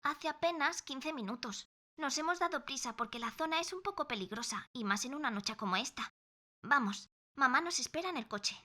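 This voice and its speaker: A woman's voice: sits high, lively intonation, normal speed, pushed and bright. A woman playing a young, puzzled and concerned daughter in an audio drama, emphatic and questioning, with an accent from Spain. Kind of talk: scripted audio drama dialogue.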